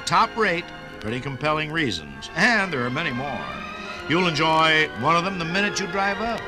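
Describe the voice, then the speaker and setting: A man's voice: on the high side, rough recording. An American man reading a 1950s radio sponsor message in a folksy, conversational way, speaking straight to the listener. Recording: 1950s radio broadcast on vinyl.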